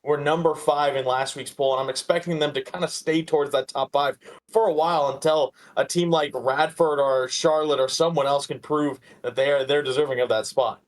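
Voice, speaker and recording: A man with a somewhat high-pitched voice talks as a sports podcast host. His analysis is casual and conversational. He often repeats a phrase while working out his next thought. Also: steady tone, a little fast.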